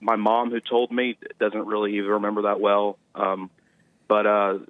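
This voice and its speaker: A man's voice: fairly low pitch, completely flat delivery, normal speed, no room sound. A young man calling in to a talk show by phone, speaking hesitantly with lots of fillers. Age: young adult. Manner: hesitant, many fillers and restarts. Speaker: caller. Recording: tinny phone line.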